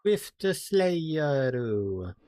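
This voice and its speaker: A man giving casual, low-key live commentary as he streams a video game. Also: big pitch swings, extremely slow, dark tone, no echo, studio quality. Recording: game music behind the voice. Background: video game music.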